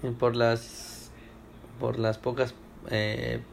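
A man's voice: mid-range voice, completely flat delivery, extremely slow, vocal fry, slight background noise. A young man from Ecuador answering oral history questions at home, hesitant with many fillers and restarts. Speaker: interviewee.

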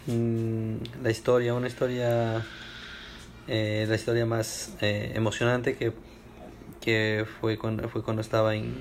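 A man's voice: on the low side, monotone, measured pace, a little hiss or hum. A young man from Ecuador answering oral history questions at home, hesitant with many fillers and restarts. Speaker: interviewee.

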